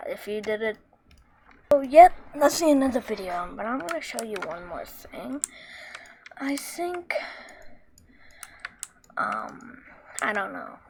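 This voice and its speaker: A woman with a squeaky voice talking through a casual screen tutorial, rambling with lots of fillers and restarts. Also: noisy background. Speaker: tutorial maker.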